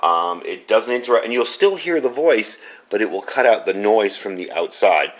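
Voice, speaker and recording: A man's voice: on the low side, lively intonation, normal speed. Casual man reviewing gear. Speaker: product reviewer. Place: indoors at home.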